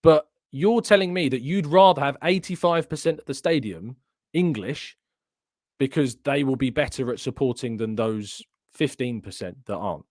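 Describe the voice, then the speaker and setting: A man's voice: medium pitch, normal speed, darkish tone, dry recording. Insistent male debate host, dry sound. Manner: argumentative, insistent. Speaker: show host. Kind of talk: heated debate.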